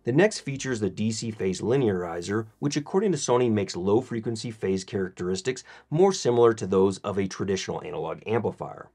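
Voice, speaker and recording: A man presenting a scripted product review as narration, in a measured, methodical way. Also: on the low side, animated, a little mellow, breathy edge.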